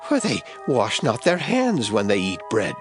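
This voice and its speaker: A self-righteous religious elder in a biblical audio drama. He challenges formally in a low, extremely animated voice, with music behind. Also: measured pace. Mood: accusing. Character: accusing, self-righteous male Pharisee.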